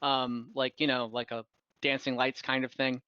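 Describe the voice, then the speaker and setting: Bright-voiced man as halting game master. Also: mid-range voice, little pitch movement, clean tone, no room sound, dull audio. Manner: halting, rambling, self-correcting. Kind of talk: improvised narration of a tabletop fantasy game. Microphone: online voice call, close microphone.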